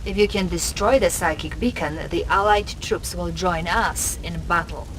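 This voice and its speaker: A woman's voice: lowish voice, moderate pace, a bit bright, a little hiss or hum, natural voice, poor audio. A woman playing a composed Soviet intelligence officer, formal and deferential as she briefs a commander in a video game. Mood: businesslike. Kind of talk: scripted game mission briefing.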